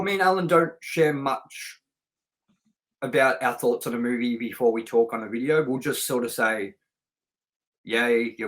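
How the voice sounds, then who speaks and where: A middle-aged man with a medium-pitched voice talks as the host of a film review show. He is chatty and informal, wandering through his thoughts and anecdotes without a script. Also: poor audio. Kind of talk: casual unscripted review chat.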